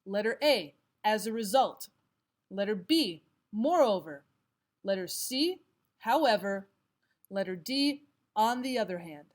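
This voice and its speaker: A woman narrating a scripted lesson as a teacher, reading items aloud in a measured, instructional way. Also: medium pitch, expressive. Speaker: English grammar teacher.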